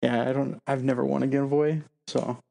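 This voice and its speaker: A man co-hosting a podcast in casual chat, low-key in his reactions and remarks. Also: flat delivery, fairly quick, creaky, mellow. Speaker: podcast co-host.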